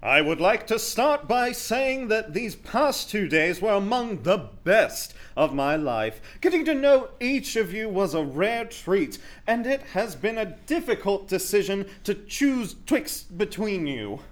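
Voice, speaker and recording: A man's voice: high-pitched, very animated, measured pace, few pauses. A man playing a smug, randy young prince in a comedic radio play, speaking with pompous, mock-regal formality. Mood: haughty.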